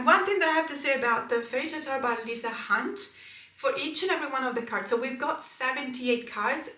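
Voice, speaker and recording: Woman chatting earnestly about tarot decks, bright voice, echoey room, sits a bit back from the mic. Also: on the high side, far from the mic. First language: Italian. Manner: earnest, many fillers and restarts. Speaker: tarot channel host. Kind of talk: casual haul vlog.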